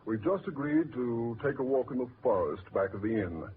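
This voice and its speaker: An actor playing a wry, scoffing British gentleman with a dry manner in a 1940s radio drama. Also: medium pitch, big pitch swings, moderate pace, natural voice. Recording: noisy, tinny 1940s radio recording.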